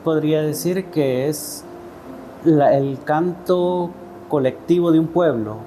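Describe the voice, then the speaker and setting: Salvadoran male writer, soft mellow tone, reflective and halting interview answers, faint ambient noise. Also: fairly high pitch, rough recording. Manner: thoughtful, hesitant, often seeking agreement with tag questions. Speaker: interview guest, poet and writer.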